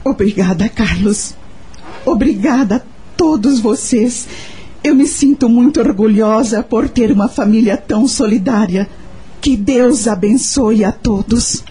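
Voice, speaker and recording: An actress playing an anguished, devoted young mother in a radio soap opera, speaking with earnest emotion and a husky voice. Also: on the high side, unhurried, warm tone. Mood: grateful.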